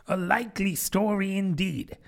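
A fretful pigeon, voiced by a male narrator, scolds in a high, piercing voice, full of contempt. It talks in a raised register well above a man's usual speech, sharp and accusing. The sound is that of a storybook reading. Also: measured pace. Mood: scornful. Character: shrill, indignant, sleepless pigeon guarding its eggs. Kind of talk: audiobook character voice.

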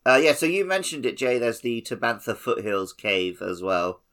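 A man's voice: mid-range voice, very animated, normal speed, fairly bright tone. A man co-hosting a casual gaming podcast, explaining things in a conversational way. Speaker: podcast co-host.

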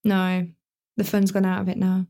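Actress as sarcastic teen girl, quick-tempered, mid-pitched voice. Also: monotone, vocal fry, warm tone, lightly acted. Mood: wry. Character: teenage schoolgirl, dramatic, quick-tempered, wry. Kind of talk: radio drama acting.

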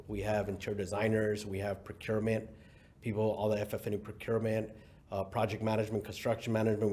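Low-voiced Mexican-born man, easygoing. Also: a little mellow. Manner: easygoing, with frequent fillers and restarts. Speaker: company CEO, guest. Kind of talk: casual interview talk.

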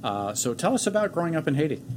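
A man's voice: a little high, big pitch swings, fast talker, a little mellow. A man hosting a podcast, interviewing a guest in an upbeat, encouraging way. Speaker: podcast host. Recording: faint background noise.

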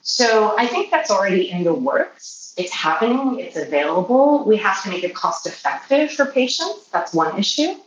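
A woman who grew up speaking Polish, answering interview questions as a healthcare professional in a measured, explanatory way. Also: mid-range voice, very animated. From Poland or Polish-speaking family. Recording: roomy, distant mic, faint noise.

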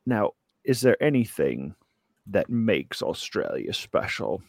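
A man giving a comic mock lecture in a put-on Australian accent, hesitant and deadpan. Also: lowish voice, takes it slowly, no echo, studio quality. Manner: putting on a joke Australian accent. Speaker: comic presenter giving a slideshow talk. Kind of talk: mock lecture, improvised comedy presentation.

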